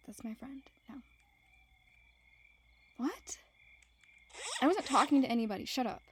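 A woman playing a grumpy, teasing young woman on a camping trip in an intimate audio roleplay, breathy and sarcastic. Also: fairly high pitch, mellow, slight background noise, exaggerated voice.

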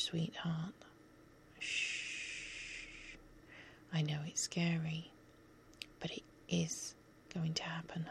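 Female ASMR whisperer, low fry-edged whisper, unhurried, coaxing yet firmly in control. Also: a bit bright. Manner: soothing, coaxing, commanding.

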